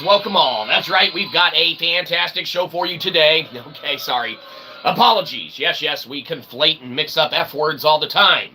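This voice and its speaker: A man delivers a comic monologue as a show host, wordy and mock-grandiose. His voice is very bright and forceful, set somewhat high for a man. A faint noise sits behind it. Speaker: host of a comedic philosophy show.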